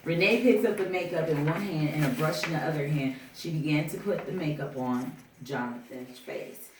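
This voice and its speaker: A person reading screenplay stage directions aloud at a table reading, a little haltingly. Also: mid-range voice. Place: indoor room at a table reading. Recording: echoey room from far off, faint noise.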